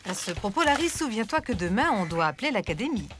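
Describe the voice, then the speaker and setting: An affectionate cartoon mother elephant, acted by a woman, with a mid-pitched, swooping voice. She is attentive and theatrical in a French dub, with clear music behind. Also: very animated, fast, lightly acted. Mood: concerned.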